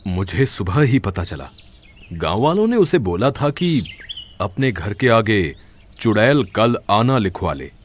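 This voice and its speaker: A man playing a gentle, henpecked older village father-in-law in a cartoon horror story, earnest and complaining. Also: fairly low pitch, full character voice. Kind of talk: Hindi animated horror story acting.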